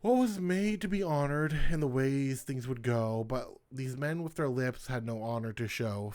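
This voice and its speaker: A man hosting his own poetry channel, reading his poems aloud in a casual way and starting lines over when he stumbles. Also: mid-range voice.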